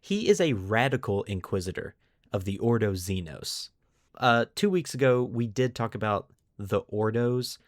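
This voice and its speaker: A man's voice: fairly low pitch, expressive, moderate pace, no echo, studio quality. A man hosting a podcast, explaining lore to friends in an informal, enthusiastic way. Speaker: podcast host.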